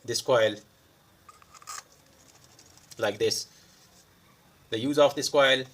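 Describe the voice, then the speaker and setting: A man who is a non-native English speaker gives an unscripted hands-on repair demonstration, pausing mid-sentence in short phrases. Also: mid-range voice, a bit bright. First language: not English. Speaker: electronics repair tutorial host.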